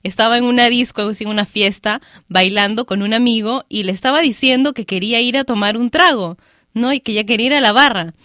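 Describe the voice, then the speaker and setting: A woman's voice: fairly high pitch, fairly quick, bright, forceful tone, dry recording, clean studio sound. A woman hosting a radio show, reading out listener messages in a chatty way and giggling between the stories. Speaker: radio host.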